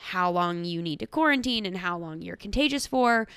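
A woman co-hosting a podcast, talking frankly and casually, with quick asides. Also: fairly low pitch, expressive, a bit bright, clear, resonant tone, no room sound. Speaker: podcast co-host.

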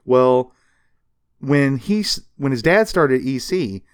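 A man with a medium-pitched voice hosts a podcast, talking through some history in loose, everyday language. He explains things step by step in a conversational way. The sound is close and dry, with no room to it. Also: expressive, slow, smooth, no room sound. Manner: explanatory, colloquial. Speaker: podcast host. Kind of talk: casual chat, telling comics history.

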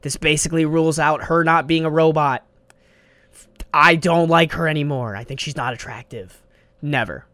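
A man's voice: fairly high pitch, normal speed, pushed and bright, no room sound. Rambling young man with a slangy manner. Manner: rambling, slangy and profane, says bro a lot. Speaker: podcast host. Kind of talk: casual solo podcast monologue. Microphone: studio mic on a desk.

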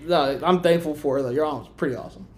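A woman's voice: deep voice, fast, a little mellow. A young woman co-hosting a podcast, telling travel stories in casual chat and laughing often as she talks. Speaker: podcast co-host.

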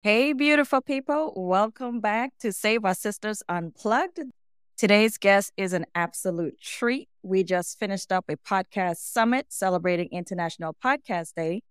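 A woman's voice: mid-range voice, normal speed, clear, resonant tone, no room sound, studio quality. A woman speaking as a podcast host, introducing and interviewing in a prepared, presentational style.

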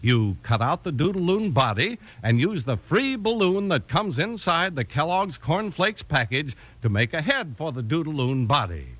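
A man's voice: a little high, very animated, normal speed, hardly any pauses, fairly bright tone, no room sound. An American man announcing in vintage radio style, with emphatic, enthusiastic scripted delivery.

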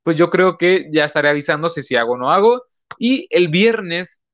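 A man's voice: on the high side, moderate pace, a bit bright, dull audio. A young man from Mexico, hosting a casual live-streamed podcast, rambling with many fillers and self-corrections. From northern Mexico. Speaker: podcast host.

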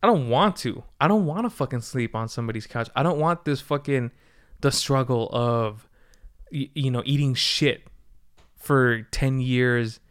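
A man's voice: mid-range voice, measured pace, smooth. A man of thirty, a podcast host, giving a rambling, candid solo update, swearing freely and sounding tired from poor sleep. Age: 30s. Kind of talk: casual solo update monologue.

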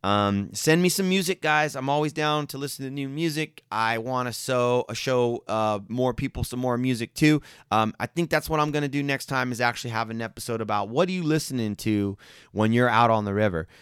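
A man's voice: medium pitch, fairly quick, fairly bright tone, clear, resonant tone, dry recording, clean studio sound. A young man hosting his own podcast, chatting casually and rambling with lots of fillers, restarts and slang. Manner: rambling, laid-back, many fillers and restarts; slangy, says man and guys. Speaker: podcast host. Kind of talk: casual solo podcast chat.

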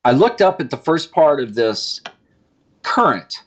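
A man's voice: on the high side, very animated, unhurried, slightly rough. A man calling in from a car as a podcast guest, chatting casually in a jokey, wandering way. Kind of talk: casual rambling storytelling and chat.

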